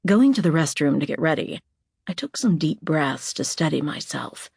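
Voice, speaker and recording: A woman's voice: sits low, very animated, moderate pace, vocal fry, darkish tone, slightly rough, dry recording, clean studio sound. A woman narrating a first-person thriller as a scripted audiobook.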